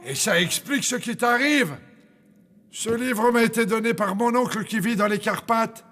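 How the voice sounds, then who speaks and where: A man's voice: extremely high pitch, moderate pace, fairly bright tone. A man voicing an eager, flustered hotel employee in a French cartoon, hesitant, obliging and theatrical. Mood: explaining urgently. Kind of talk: French cartoon dub acting.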